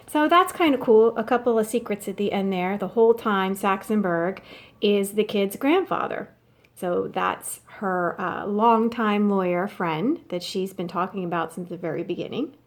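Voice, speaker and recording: A woman's voice: mid-range voice, moderate pace. A woman teacher speaking casually as she guides students through a novel read-aloud.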